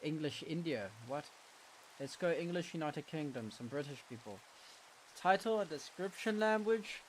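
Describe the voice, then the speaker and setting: A man's voice: fairly high pitch, animated, moderate pace, a little hiss or hum, low-quality recording. Sniffly male streamer muttering to himself. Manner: mutters to himself while setting up, casual slang. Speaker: livestreamer. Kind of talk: casual live-stream chatter. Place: home room.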